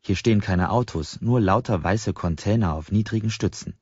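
A man with a husky voice, narrating a novel in the first person as an audiobook reader. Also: lowish voice, normal speed, a little mellow. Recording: slightly dull, roomy sound.

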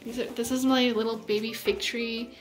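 A woman's voice: on the high side, little pitch movement, moderate pace, low-quality recording. A woman in her thirties from Canada, chatting casually while showing her home on a handheld phone camera. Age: 30s. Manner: chatty, easygoing, with many fillers like "like". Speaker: vlogger giving a house tour. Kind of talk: casual house-tour chat. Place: indoors in a home. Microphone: handheld phone camera at arm's length.

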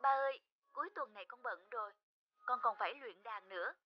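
An actress playing a young student daughter in a cartoon drama, soft-spoken, evasive and somewhat hurried. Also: female voice, on the high side, lo-fi sound, close to an everyday voice.

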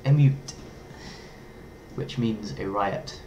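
Playful young Englishman, lowish voice. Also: drawn-out words, a little hiss or hum. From England. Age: young adult. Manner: playful, lively, toys with foreign words. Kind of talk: casual vlog talk to camera. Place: small bedroom indoors.

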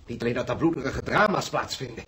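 An actor playing a morbidly superstitious hospital patient, frantic and accusatory, in a Dutch radio play. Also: male voice, medium pitch, expressive, fast talker, bright, forceful tone, a little hiss or hum. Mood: morbid, ominous. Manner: frantic, accusatory, prone to wailing.

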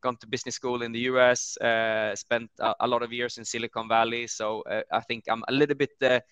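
Energetic Swedish male guest, 30s, native Swedish, forceful and clear, dry headset mic. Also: medium pitch, brisk, no room sound. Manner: energetic, many fillers and repeated words. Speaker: guest executive. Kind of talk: business webinar conversation. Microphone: headset on video call.

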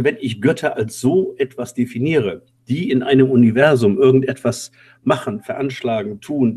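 Husky man explaining off the cuff. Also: mid-range voice, darkish tone. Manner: halting, repeats words for emphasis. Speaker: co-host. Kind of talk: spontaneous explanation on an online talk show. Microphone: online call.

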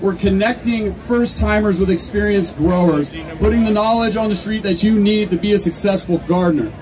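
A man's voice: high voice, normal speed. A young man emceeing a contest at an outdoor fair, reading entry notes into a handheld microphone over a PA, then rallying the crowd. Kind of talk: announcing at an outdoor event. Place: outdoor fair under a canopy tent. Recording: distant camera mic, noisy crowd. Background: crowd chatter.

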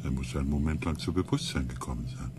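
An actor playing an intense, insistent member of a science expedition in a sci-fi radio drama. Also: male voice, rumbling low, quick pace, background noise. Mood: uneasy, grave.

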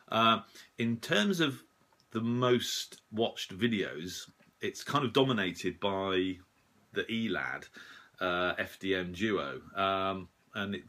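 Very low-voiced man, casual and slightly husky. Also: bright, forceful tone. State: slightly rough and husky after a late night out. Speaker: shortwave radio hobbyist and video host. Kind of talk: casual unscripted update to camera.

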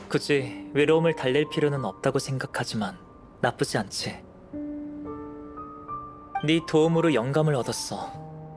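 A calm young alchemist played by a man in an anime game, with a mid-pitched voice. He is measured, soft-spoken and philosophical, with music behind him. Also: close to an everyday voice. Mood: gently grateful. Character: calm, pensive young male alchemist scholar. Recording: clean studio voice over background music.

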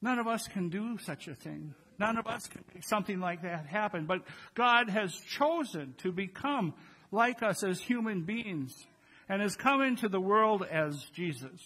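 An older man in his sixties delivers a church sermon in a notably high-pitched voice. His tone is bright and forward. He talks to the congregation conversationally, as a pastor at the pulpit. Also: expressive, moderate pace. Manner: conversational, addresses congregation with rhetorical questions. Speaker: pastor preaching. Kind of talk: Christmas Eve sermon. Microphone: gooseneck pulpit microphones.

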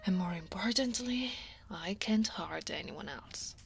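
A female dragon office senior, played by a woman from Italy, speaks in a soft, husky voice at an unhurried pace. She is guarded yet teasing and easily flustered, in the intimate style of an ASMR roleplay. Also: mid-range voice, animated, faint music behind, heavily acted. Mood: wistful, confiding. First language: Italian. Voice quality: soft, breathy. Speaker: voice actor.

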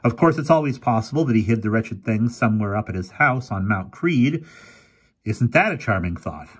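A man voicing a gruff elderly professor, a crusty, grumbling older man with a husky voice who turns theatrical when he scolds himself. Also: mid-range voice, expressive, moderate pace, pitched above the performer's own voice.

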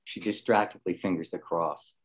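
A man's voice: low-pitched, fairly even intonation, moderate pace. A man reading stage directions as scripted narration for a drama.

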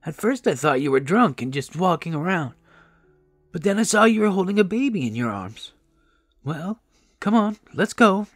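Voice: medium pitch, very animated, moderate pace, no echo, clean studio sound, soft background music, voice pushed up. A narrator voicing a kindly, chatty old grandmother in an audiobook, motherly and emphatic. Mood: urging, kindly.

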